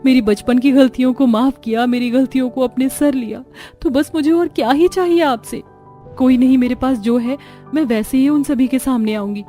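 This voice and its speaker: Woman playing a humble, high-voiced young daughter-in-law. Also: normal speed, dark tone, soft background music, lightly acted. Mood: earnest.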